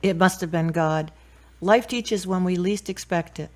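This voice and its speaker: A woman playing a supportive, gently teasing friend in a radio drama. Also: on the low side, unhurried, close to an everyday voice. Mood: sympathetic.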